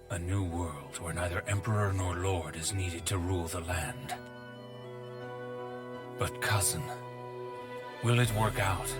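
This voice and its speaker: A stern, loyal warrior of a video game epic. His voice sits on the low side and he declaims solemnly, grave and resolute, while loud music plays behind him. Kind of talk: dramatic video game voice acting.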